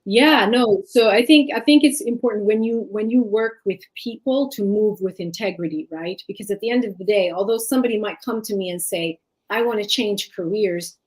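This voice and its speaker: A woman raised in Sweden whose first language is Tigrinya, speaking as a life coach in an interview, reflective and measured with frequent fillers. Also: mid-range voice, a little mellow, rough recording. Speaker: life and leadership coach, guest. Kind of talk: interview conversation.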